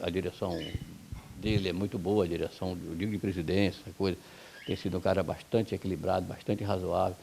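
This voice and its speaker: A man's voice: fairly low pitch, creaky, a little mellow, husky. A man from Brazil, a football coach, giving blunt, heated opinions in an interview. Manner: blunt, heated, rapid-fire complaints.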